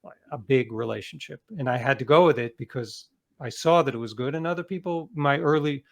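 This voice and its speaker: A man's voice: mid-range voice, fairly quick, vocal fry. A man with a mid-range voice speaks as an author being interviewed. He talks conversationally and reflectively, explaining his thoughts with everyday examples.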